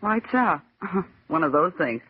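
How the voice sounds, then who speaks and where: A woman's voice: lowish voice, animated, takes it slowly, husky, heavily acted. An actress playing a griping, sarcastic young woman typist in 1940s radio drama style. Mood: mocking, scoffing. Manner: brash, wisecracking, scoffing. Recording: muffled old radio recording, faint noise.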